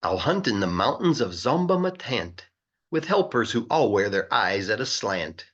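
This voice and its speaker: A man voicing an eager, boastful young boy in a rhyming children's storybook, with an excited sing-song delivery. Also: mid-range voice, slightly rough, muffled recording, full character voice.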